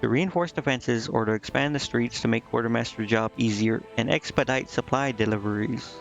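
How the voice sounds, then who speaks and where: Guy streaming a game, creaky voice, mumbles thru decisions and reads text out, slightly muffled mic, music in the back. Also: mid-range voice, fairly quick. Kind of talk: casual live gameplay commentary, reading game text aloud.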